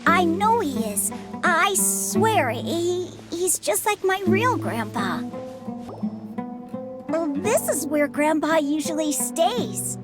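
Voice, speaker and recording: A worried young boy, slow, high, hesitant, game score behind. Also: sing-song, heavily acted. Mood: anxious. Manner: hesitant, pleading, stumbling over words. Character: young village boy, anxious and pleading for his grandpa. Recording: game cutscene with music behind.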